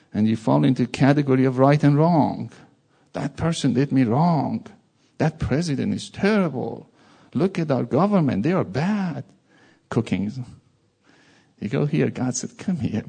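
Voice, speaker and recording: Gravelly man with a Persian accent. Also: mid-range voice, unhurried. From Iran. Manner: animated, jokey asides. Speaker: preacher. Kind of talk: informal sermon.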